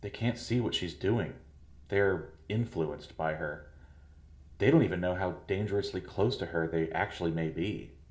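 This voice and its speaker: A man's voice: sits low, a little mellow, close to an everyday voice. A man playing a soothing school head. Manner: soothing, paternal, measured. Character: middle-aged male school head, falsely kindly. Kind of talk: audio drama acting. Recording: echoey room.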